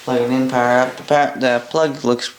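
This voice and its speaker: A man's voice: mid-range voice, little pitch movement. Offhand Canadian man in an echoey room. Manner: halting, offhand, thinking aloud. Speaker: hobbyist presenter demonstrating a vintage boombox. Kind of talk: casual unscripted demonstration. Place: indoor room at a workbench by a window. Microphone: camera mic a short distance away. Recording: roomy, with noise and clicks.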